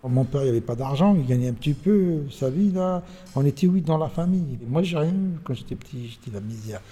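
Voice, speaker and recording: A man in his sixties with a Tunisian accent, telling stories about his childhood in a halting, animated way. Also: husky. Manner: animated, halting, with sudden pitch jumps. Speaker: interviewee. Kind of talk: personal storytelling.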